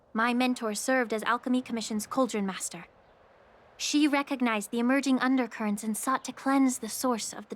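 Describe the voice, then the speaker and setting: A woman voicing a composed, wry healer official in a video game, speaking in a measured, polite and philosophical way. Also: a little high, natural voice. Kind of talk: English video game voice acting. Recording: loud music under the voice.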